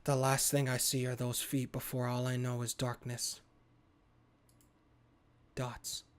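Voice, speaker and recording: A man hosting a gaming video, reading a horror visual novel aloud in a theatrical, expressive way with casual commentary. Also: mid-range voice, takes it slowly. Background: game music.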